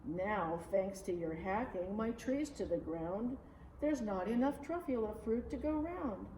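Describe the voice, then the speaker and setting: A woman as an old storybook forest creature, bossy, scolding, very animated pitch, roomy distant recording. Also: measured pace, close to an everyday voice. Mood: reproachful, sad. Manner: emphatic, scolding, pleading. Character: small indignant old forest creature, bossy tree guardian. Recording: roomy, distant mic, faint noise.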